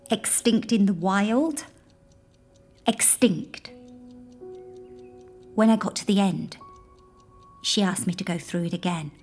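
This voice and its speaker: A woman playing a grieving, imaginative young girl who confides in her toy polar bear in an audio drama, hushed and childlike. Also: fairly low pitch. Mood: subdued, sad. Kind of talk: intimate audio drama monologue.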